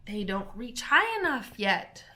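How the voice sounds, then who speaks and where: A woman reading aloud, voicing a crowd of little animal workers who shout orders in an excited, bustling way. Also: medium pitch, measured pace, slight background noise.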